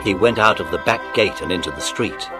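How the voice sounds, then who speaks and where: A man narrating a dramatised children's audiobook in an expressive, animated storytelling manner. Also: low voice, fairly quick. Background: music.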